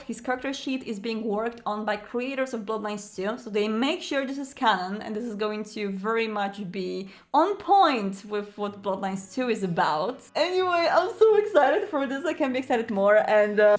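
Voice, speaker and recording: A woman hosting a casual video review, chatty and enthusiastic, with an informal, gushing way of talking. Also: medium pitch. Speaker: YouTube host reviewing a board game.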